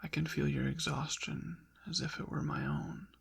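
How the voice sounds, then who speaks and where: A man voicing a haughty, possessive ancient demon in an intimate ASMR roleplay, with formal, deliberate diction and a breathy, gravelly whisper. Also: on the high side, wildly swooping pitch, fairly bright tone, exaggerated voice. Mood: teasing, possessive. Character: possessive ancient male demon, haughty, teasing, quietly softening. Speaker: audio roleplay performer.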